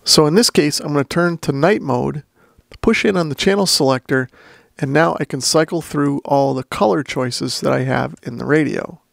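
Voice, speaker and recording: Methodical man with a slightly creaky voice. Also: fairly high pitch, normal speed, no room sound, clean studio sound. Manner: methodical, plain-spoken. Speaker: radio reviewer and demonstrator. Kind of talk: step-by-step product walkthrough.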